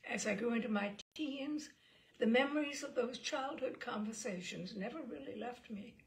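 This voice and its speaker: A woman reading a story aloud as scripted narration, in an expressive, measured storytelling manner. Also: on the high side, low-quality recording. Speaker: story reader.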